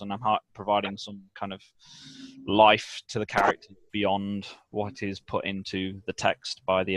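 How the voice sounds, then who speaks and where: Artist guy on a podcast, lowish voice, dry sound, stumbles a bit, jokey. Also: pushed and bright, no echo. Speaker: cover artist, podcast guest. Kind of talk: casual group podcast chat.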